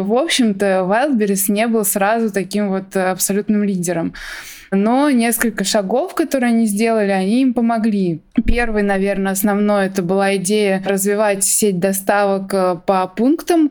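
A woman's voice: mid-range voice, darkish tone. A woman, a journalist guest, explaining things in interview talk with tentative, hedged phrasing.

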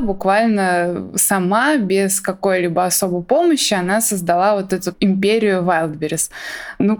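A woman's voice: medium pitch, a little mellow. A woman, a journalist guest, explaining things in interview talk with tentative, hedged phrasing.

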